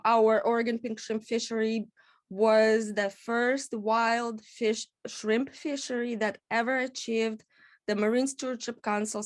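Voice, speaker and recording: A woman from Kazakhstan giving a measured presentation at a public panel, with frequent "um" pauses. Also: a little high. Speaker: executive director, invited speaker.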